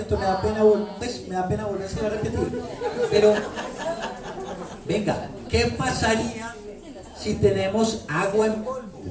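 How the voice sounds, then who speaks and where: A man with a Colombian paisa accent, an author speaking playfully to an audience at a book launch, repeating phrases for effect as he tells stories. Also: high-pitched. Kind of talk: animated talk to an audience. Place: bookstore event room. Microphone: handheld microphone. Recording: echoey room from far off.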